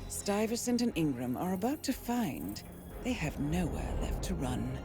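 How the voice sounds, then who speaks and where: A woman's voice: on the low side, sing-song, a little slow. A woman playing a composed, authoritative mission handler, giving a formal, precise briefing in a video game cutscene. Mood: controlled, businesslike. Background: music.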